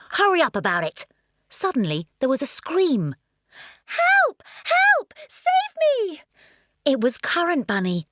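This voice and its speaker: Woman narrating a children's story, very animated. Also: on the high side, no echo, clean studio sound. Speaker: actor reading a story.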